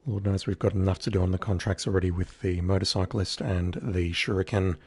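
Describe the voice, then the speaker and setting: A man's voice: sits low, level tone, fairly quick, a little mellow, breathy edge. A man with a scratchy voice giving casual, wry live commentary on a game he is playing. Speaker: game streamer.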